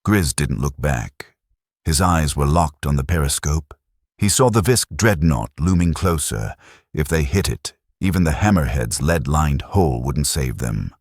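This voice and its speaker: Man narrating sci-fi, extremely deep voice, husky, dramatic, dry close sound. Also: unhurried, no room sound. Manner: dramatic, expressive storytelling. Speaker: audiobook narrator.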